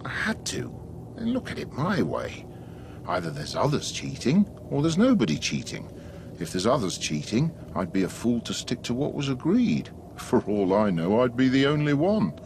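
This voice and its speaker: A man's voice: mid-range voice, wildly swooping pitch, normal speed, darkish tone. An actor in a radio drama playing a wry, self-justifying man who argues his case by reasoning aloud. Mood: defensive. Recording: radio drama with background noise.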